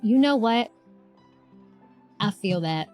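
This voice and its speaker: A woman's voice: mid-range voice, expressive, takes it slowly, a little mellow. A woman streaming a game, chatting casually and effusively, repeating herself as she reacts. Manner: effusive, repeats herself, talks back to characters. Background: game music.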